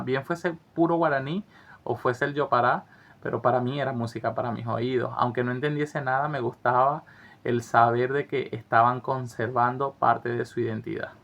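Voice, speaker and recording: Man, co-host, gravelly and monotone, earnest, dull lo-fi recording. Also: mid-range voice. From Venezuela. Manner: earnest, reflective, some hesitant restarts. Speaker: co-host of travel channel. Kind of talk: casual conversational commentary.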